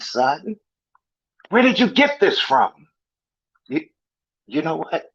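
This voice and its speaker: A man's voice: fairly high pitch, slightly rough. A man performing a dramatic monologue as an angry, heartbroken father, stern, emphatic and pleading. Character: angry, heartbroken father confronting his drug-hiding son. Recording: muffled, dull audio.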